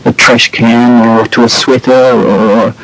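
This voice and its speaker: A man from New Zealand with a New Zealand accent, answering radio interview questions in a measured, explanatory way with frequent ums and uhs. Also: medium pitch, flat delivery, fairly bright tone, rough recording. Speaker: interview guest. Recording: old radio recording with faint hiss.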